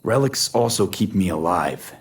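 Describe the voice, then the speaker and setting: An actor playing a weary, guarded young mercenary in a video game, speaking in terse, understated sentences. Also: male voice, low-pitched, expressive, moderate pace, vocal fry. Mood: grim, weary. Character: weary, guarded young male mercenary, stubbornly downplaying trouble. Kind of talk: naturalistic video game acting.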